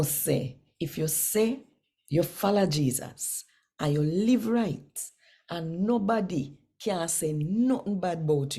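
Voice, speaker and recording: A woman with a Jamaican accent, hosting and reading scripture devotionally in a deliberate, phrase-by-phrase way. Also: low-pitched, mellow, husky. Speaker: host and scripture reader.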